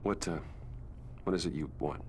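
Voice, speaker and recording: An actor playing a guarded, wealthy businessman in a video game, courteous and measured but defensive. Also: rumbling low, a little hiss or hum. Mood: polite, distracted. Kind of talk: video game dialogue acting.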